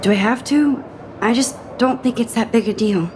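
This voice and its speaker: A woman's voice: a little high, moderate pace, noisy background, close to an everyday voice. A young woman acting a shy, self-doubting, sarcastic teenage student in a video game, talking introspectively to herself. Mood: reluctant.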